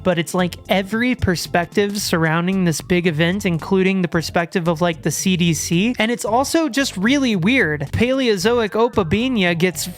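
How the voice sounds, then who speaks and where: A man hosting a horror video, talking excitedly with stammered restarts and sudden outbursts as he loses his voice. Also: high-pitched. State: losing his voice. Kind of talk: enthusiastic solo video commentary.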